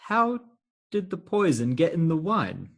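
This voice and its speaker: Man acting frantic, husky-voiced, stammering nephew. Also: fairly high pitch, huge pitch swings, unhurried, a bit bright, full character voice. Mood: shocked disbelief. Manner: flustered, stammering, exclamatory. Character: frantic, appalled nephew of two elderly aunts. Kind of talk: stage comedy radio theatre acting.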